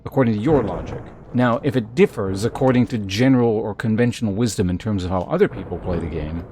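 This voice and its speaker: A man's voice: fairly low pitch, quick pace, dark tone. A man chatting and commentating on a live game stream in a rambling, wry way, with faint noise on the recording.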